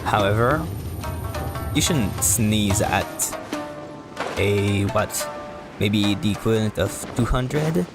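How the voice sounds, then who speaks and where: A man with a low, gravelly voice streams a game in a casual way. He talks very slowly and haltingly, rambling through his explanations as he plays. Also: lively intonation. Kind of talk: casual live stream commentary while playing. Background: music.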